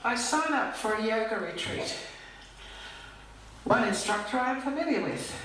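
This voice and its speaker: An older woman reading her own poem as a scripted recital, in measured, deliberate phrases with pauses. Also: fairly high pitch, expressive, fairly bright tone. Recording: distant, very echoey hall with background noise.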